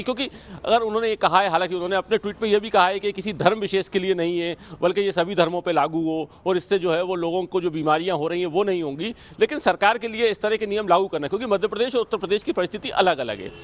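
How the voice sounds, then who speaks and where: Male news reporter. High-pitched, rapid explanatory delivery, live analysis style.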